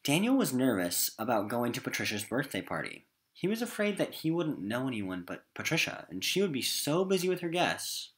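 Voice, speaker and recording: A man's voice: medium pitch, sing-song, fairly quick, fairly bright tone, breathy edge. A man with an American accent teaching a recorded literature lesson in an emphatic, informal classroom style.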